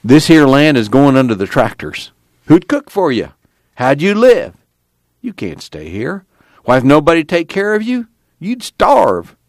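Worried rural family patriarch, performed by a male reader: pleading, urgent, country speech, lively intonation, novel narration. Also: mid-range voice, moderate pace, no echo, clean studio sound, lightly acted. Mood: exasperated, pleading. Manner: urgent, folksy rural dialect. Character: anxious, exasperated farm father pleading with his family.

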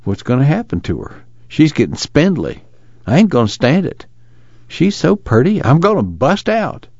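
A man performs a desperate, bitter migrant father in an audiobook novel. His speech is folksy and plain, with a rural American accent and a raised, very animated pitch. The recording is dry and slightly dull. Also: moderate pace, dry recording.

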